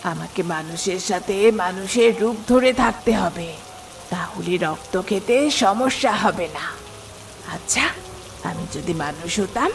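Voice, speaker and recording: A woman's voice: mid-range voice, vocal fry, slight background noise. A woman voicing a scheming, bloodthirsty cartoon demoness with a gloating manner. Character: bloodthirsty scheming demoness plotting human disguise.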